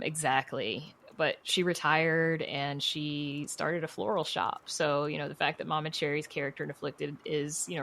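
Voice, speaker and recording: Low-voiced woman chatting candidly. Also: fairly bright tone. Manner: chatty, candid, informal, frequent "you know" and "like". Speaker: interview guest, writer and audio fiction producer. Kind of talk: casual conversational interview.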